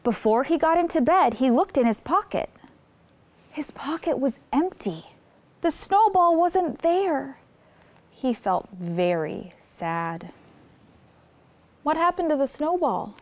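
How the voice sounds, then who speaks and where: A woman's voice: high-pitched, sing-song, takes it slowly, long pauses, a little mellow. A woman hosting story time, reading a picture book aloud expressively and putting simple questions to young listeners. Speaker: children's story time host.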